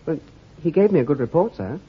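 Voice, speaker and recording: A stammering young lieutenant. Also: male voice, a little high, lively intonation, fast talker, slightly rough. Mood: eager, deferential. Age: young adult. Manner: stammering, deferential, says sir constantly. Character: eager, nervous young male army lieutenant. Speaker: army lieutenant. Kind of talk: radio drama acting. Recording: lo-fi, muffled old recording.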